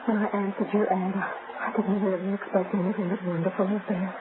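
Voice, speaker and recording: An actress playing a timid, adoring middle-aged bride in an old-time radio drama, hesitant and fluttery. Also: female voice, mid-range voice, flat delivery, brisk, lightly acted. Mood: adoring, coy. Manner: fluttery, hesitant, sighing and gasping. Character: timid, adoring new bride in her forties, easily frightened. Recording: very noisy, tinny old radio recording.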